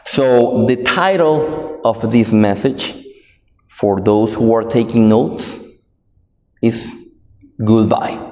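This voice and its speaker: Slow Guatemalan-accented man preaching in a hall. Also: mid-range voice, a little mellow. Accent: Guatemalan Spanish. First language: Spanish. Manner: halting, many fillers and restarts. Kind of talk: sermon. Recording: echoey hall with long reverb.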